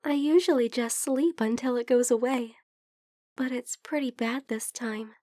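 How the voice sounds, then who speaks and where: Woman as gentle sick vampire friend for ASMR roleplay: high, soft voice, slow delivery, teasing yet hesitant. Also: no echo, clean studio sound, natural voice. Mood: warm, thankful.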